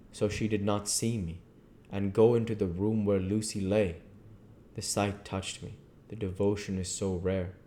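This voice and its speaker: A man reading a novel aloud in a low, quiet, steady way, as the reader of his own series. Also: a little hiss or hum. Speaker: audiobook reader. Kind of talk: amateur read-aloud of a novel.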